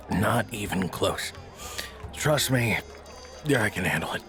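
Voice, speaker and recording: A drunken, grieving father in an audio drama. He talks slowly, with weary, profane sarcasm and deep bitterness, over prominent music. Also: animated, sharp tone, exaggerated voice. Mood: gruff, dismissive.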